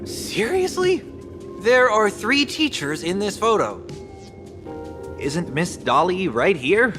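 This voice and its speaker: A man's voice: high voice, big pitch swings, slow, over music, exaggerated voice. A man playing an impatient, sarcastic young student in an anime-style game, complaining in an exasperated manner. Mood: incredulous.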